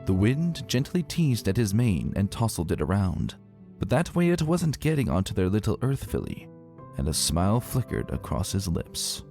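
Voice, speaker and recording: A man's voice: on the low side, moderate pace. A man giving a dramatic, theatrical reading of a scripted story as narrator. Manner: expressive, theatrical delivery. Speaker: narrator reading fan fiction aloud. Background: music.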